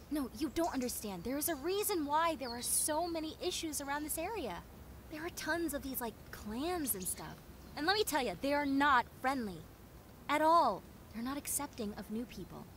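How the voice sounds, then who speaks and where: A woman playing a friendly, clumsy young female zombie in a roleplay audio drama, talking in a chatty, expressive, rambling way. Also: a little high, slight background noise. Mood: concerned, helpful. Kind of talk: roleplay audio drama, conversational monologue addressed to listener.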